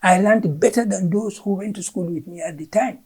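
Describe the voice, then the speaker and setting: Gambian woman, mellow husky voice. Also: mid-range voice, brisk. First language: Fula. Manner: emphatic, repeats words when searching. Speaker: curriculum official, interview guest. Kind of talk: explaining education policy in an interview.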